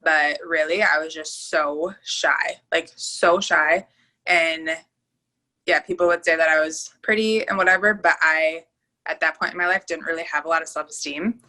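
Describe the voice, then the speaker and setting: Lower-voiced young woman, bright and candid. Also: steady tone, moderate pace. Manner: candid, easygoing, filler-heavy. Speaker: podcast co-host. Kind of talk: casual chat.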